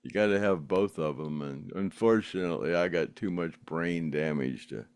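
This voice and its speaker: A man's voice: sits low, normal speed, smooth, no echo, studio quality. An older man, chatting casually as a podcast host reading out viewer comments, rambling with frequent laughs and restarts. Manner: rambling, laughs often, many fillers and restarts. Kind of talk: casual chat.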